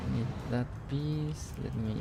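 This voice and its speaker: Slow, gravelly man streaming a game. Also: medium pitch, over loud music. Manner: thinks aloud, quick puzzled exclamations; talks to chat viewers. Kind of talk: live gameplay commentary.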